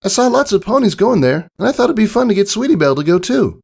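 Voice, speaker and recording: Guy doing a little pony girl for a storybook, really high voice, eager and earnest. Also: big pitch swings, fast, gravelly, a little mellow, husky, heavily acted. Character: small, earnest young pegasus filly, excitable girl. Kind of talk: storybook character reading.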